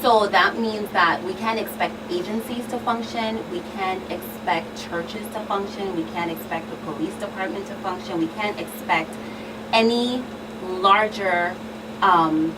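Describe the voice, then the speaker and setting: An earnest woman speaks as a guest expert on a talk show, leaning on repeated, emphatic phrases. She is recorded at a distance from the mic, with clear background noise underneath. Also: mid-range voice, unhurried.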